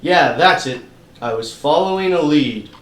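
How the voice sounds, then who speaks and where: A man's voice: on the high side, animated, measured pace, a bit bright, echoey room, distant mic. A man playing a cynical, money-minded private investigator in a noir radio play, with slangy, wisecracking tough-guy patter. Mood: flustered.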